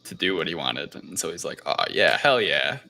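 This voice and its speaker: A man with a very low voice and a bright edge talks as a podcast co-host. He gives a casual film recap, wandering and hedging as he goes, and often rewords himself. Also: big pitch swings, moderate pace, gravelly. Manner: rambling, hedging recap with self-corrections. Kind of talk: casual film review chat.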